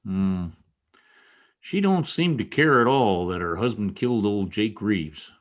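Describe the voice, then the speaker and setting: A man playing a simple, loyal frontier sidekick in an old-time radio western, with folksy rustic phrasing and a gently fretful manner. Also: fairly low pitch, expressive, a little slow, muffled recording. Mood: wary.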